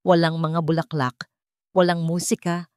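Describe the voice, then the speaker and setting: A woman narrates scripted romance fiction as a dramatic storyteller. Her pitch sits on the low side, and the recording is dry and free of room sound. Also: level tone, measured pace, darkish tone, smooth, dry recording.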